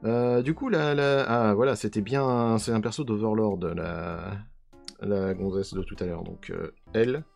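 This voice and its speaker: A man with a hoarse voice casually commenting as he unboxes trading cards, often trailing off while he tries to recall names. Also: lowish voice, a little slow, a little mellow, faint music behind. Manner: musing, trails off hunting for names. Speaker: card unboxing video host.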